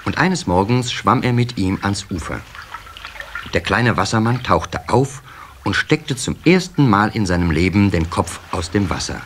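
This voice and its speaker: A man narrating a children's radio play in a measured storyteller's delivery. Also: fairly low pitch, lively intonation. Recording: slightly hissy old cassette recording.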